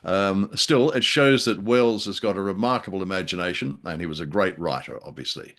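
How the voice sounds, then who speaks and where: A man's voice: deep voice, a little fast, a bit bright. A man co-hosting a podcast, chatting casually about old radio drama in a wry, talkative manner. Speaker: podcast co-host.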